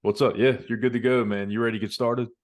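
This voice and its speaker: A man's voice: medium pitch, fairly even intonation, fast, dry recording. A man hosting a fight podcast, asking casual, friendly questions and calling his guest "man". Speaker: podcast host. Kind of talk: casual interview.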